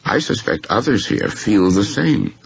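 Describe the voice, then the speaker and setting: A man voicing a courteous, shrewd, unflappable gentleman in a story, speaking formally and with deliberate precision. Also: lowish voice, very animated, gravelly, breathy edge, highs cut off, voice pushed up, heavily acted. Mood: gently pointed.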